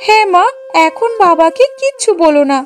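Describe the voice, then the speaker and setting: A woman's voice: very high-pitched, expressive, moderate pace, soft background music. A woman playing a spoiled young daughter of marriageable age in a moral-story cartoon, plaintive and wheedling. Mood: sulky, wheedling.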